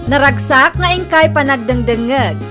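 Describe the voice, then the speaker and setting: A woman announcing a radio drama programme in scripted, drawn-out promotional narration. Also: high-pitched. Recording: music behind the voice.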